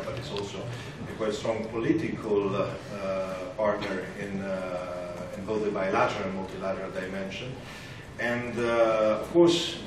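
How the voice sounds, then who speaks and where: Male, 50s, halting formal panel speaker, heard from off in a very reverberant meeting room. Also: fairly low pitch. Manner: halting, hesitant delivery with frequent repeated words. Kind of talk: political round-table address. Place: large parliament meeting room, indoors. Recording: roomy, distant, noisy conference recording.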